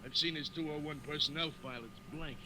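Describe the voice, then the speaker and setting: A man's voice: fairly high pitch, fairly even intonation, fast talker, lightly acted. An actor in an old-time radio drama playing a belligerent, suspicious tough-guy soldier. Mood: grumbling. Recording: old radio recording, noisy.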